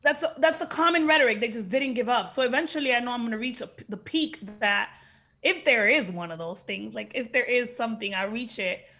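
A woman's voice: on the high side, fairly quick, pushed and bright. A young woman with a Dominican-tinged accent, talking frankly and emphatically as an actress guest over a video call, now and then swearing. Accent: Dominican Spanish-tinged English. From Dominican heritage. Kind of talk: casual podcast interview. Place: indoor room with plain backdrop.

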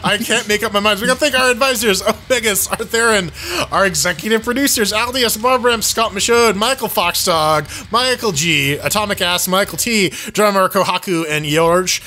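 Male co-host, high bright voice, playful, stammers a lot. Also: normal speed, slight background noise. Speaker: podcast co-host. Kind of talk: casual podcast chat.

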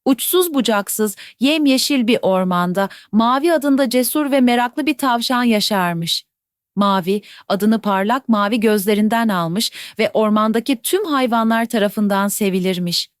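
Gentle woman telling a bedtime tale. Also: on the high side, moderate pace, no echo, studio quality. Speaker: storyteller. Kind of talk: scripted bedtime fairy-tale narration.

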